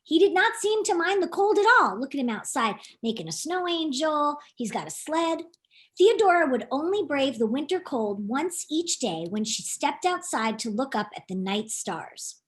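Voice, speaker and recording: Very high-pitched, animated woman reading a storybook. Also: moderate pace. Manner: expressive, playful, addresses young listeners with questions and asides. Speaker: author reading her own children's book.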